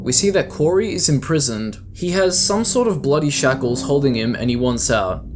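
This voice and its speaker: A man's voice: mid-range voice, expressive, moderate pace, music in the background. A man giving scripted, explanatory narration as the host of a video essay.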